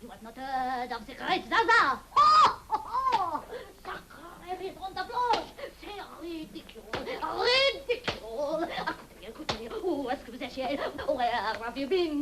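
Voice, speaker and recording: Flamboyant showgirl; high, sing-song, theatrical. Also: a little slow, hardly any pauses, very noisy. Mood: indignant. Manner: excitable, dramatic, laughing, mixes French phrases.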